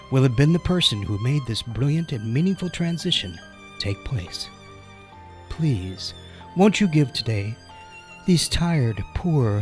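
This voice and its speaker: Man as solemn charity-appeal pitchman over violin. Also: natural voice. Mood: solemn pleading. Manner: grandiose, pleading. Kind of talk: scripted parody fundraising ad. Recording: violin music underneath. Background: violin music.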